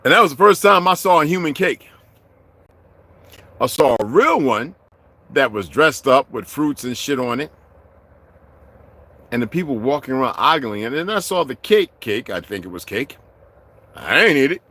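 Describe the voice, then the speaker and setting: A middle-aged man delivering a heated, profane vlog rant, swinging from low muttering to shouting. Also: expressive, moderate pace, long pauses. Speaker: vlogger. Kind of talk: profane ranting monologue.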